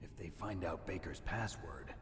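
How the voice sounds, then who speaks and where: A man acting a gruff, terse special-forces infiltrator in a video game, with a gravelly, half-whispered edge. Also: low voice, normal speed, fairly bright tone. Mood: grim questioning. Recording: reverberant game audio with music behind.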